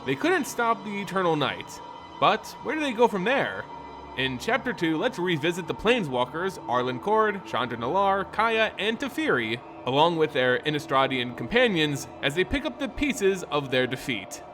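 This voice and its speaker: A man narrating a scripted fantasy story in a dramatic, solemn and measured storytelling manner. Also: a little high, very animated, music in the background. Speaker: lore narrator.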